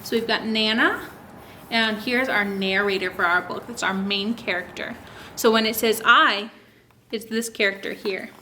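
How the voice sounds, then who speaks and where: A woman's voice: medium pitch, steady tone, normal speed, pushed and bright, slight background noise, low-quality recording. A woman hosting a story time for young children, reading aloud in a teacherly way and asking them lots of direct questions. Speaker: children's story time host at a public library.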